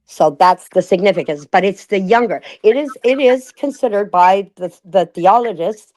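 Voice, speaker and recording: A woman in a casual livestream discussion, thinking aloud with many restarts and reading passages out slowly. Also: mid-range voice, expressive, darkish tone.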